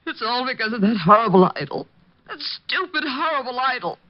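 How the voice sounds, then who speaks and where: A woman's voice: a little high, expressive, a little fast, no room sound, natural voice. Anxious young woman, played by an actress on old radio. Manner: fretful, pleading. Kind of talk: 1950s radio drama acting. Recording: dry, muffled old radio broadcast.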